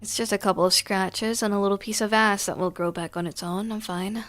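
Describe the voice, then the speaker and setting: A woman's voice: medium pitch, steady tone, brisk, fairly bright tone, no room sound, close to an everyday voice. Woman voicing a cocky, prickly young male vampire. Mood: dismissive. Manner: defensive, boastful, sarcastic.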